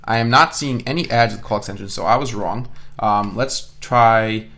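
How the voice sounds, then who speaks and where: A man's voice: fairly low pitch, normal speed, vocal fry, bright, forceful tone. A man instructing an online course in an informal screencast style, explaining as he thinks aloud and repeats phrases for emphasis. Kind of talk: informal screencast software tutorial.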